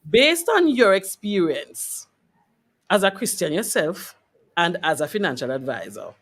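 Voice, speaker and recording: High-pitched, animated male interview host. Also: unhurried. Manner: emphatic, repeats key phrases for weight. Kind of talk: conversational faith-based interview.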